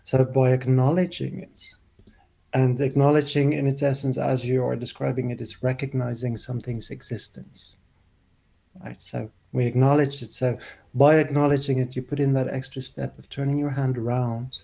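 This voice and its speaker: A man's voice: medium pitch, level tone, moderate pace, creaky, dark tone. A man hosting an interview over a video call, talking emphatically and gesturing with his hands. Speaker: interview host. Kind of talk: conversational interview. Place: small room, plain wall. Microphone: video call, wired earphones.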